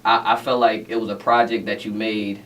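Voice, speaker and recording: A man's voice: fairly low pitch, level tone, fairly quick, a bit bright. A man hosting a casual radio interview, upbeat with frequent affirmations and "you know what I'm saying". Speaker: radio DJ host. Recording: distant mic, faint room noise.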